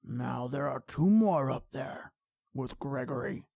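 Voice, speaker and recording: An actor playing a cruel, domineering vampire brother in a horror radio play, with a gravelly, husky voice and a commanding, sneering manner. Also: male voice, medium pitch, lively intonation, measured pace, mellow, no room sound, dull audio. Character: cruel, domineering undead vampire brother, menacing from his coffin. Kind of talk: old-time radio horror acting.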